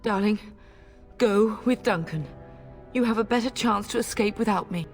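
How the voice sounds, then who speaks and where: A woman's voice: medium pitch, moderate pace, faint music behind, lightly acted. An actress playing a middle-aged noble mother in a video game, fierce, devoted and urgent, with emotional delivery. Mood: resolute, tender. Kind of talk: dramatic video game acting.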